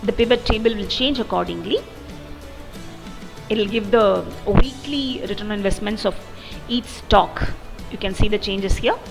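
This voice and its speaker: A woman narrating an explanatory software demo in a methodical, step-by-step way. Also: mid-range voice, normal speed, creaky, long pauses. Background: background music.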